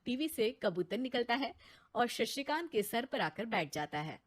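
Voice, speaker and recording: A woman's voice: on the high side, big pitch swings, moderate pace. A woman reading scripted narration for a story.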